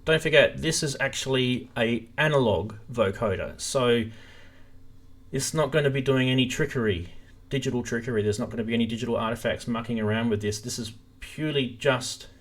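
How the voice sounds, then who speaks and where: A man's voice: mid-range voice, a little fast. A man hosting a live stream, giving a casual, rambling explanation and demonstration of gear into a stream microphone. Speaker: host of a synthesizer live stream. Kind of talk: casual live product demo.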